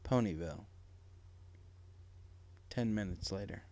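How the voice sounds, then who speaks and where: A man's voice: lowish voice, slow, mellow. A man narrating a dramatic story from a script as an audiobook reader. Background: faint music.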